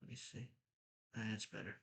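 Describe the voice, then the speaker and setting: A man's voice: brisk, a bit bright. A man from the United States giving blunt, irritated commentary while reading an article aloud at his computer, with frequent restarts. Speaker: political commentator.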